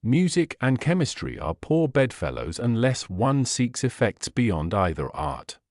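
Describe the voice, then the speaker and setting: A man voicing a coolly analytical Victorian gentleman detective in an audiobook, speaking in a precise, erudite, dry manner. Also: mid-range voice, big pitch swings, measured pace, no echo. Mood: cool, probing. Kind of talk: audiobook character voice.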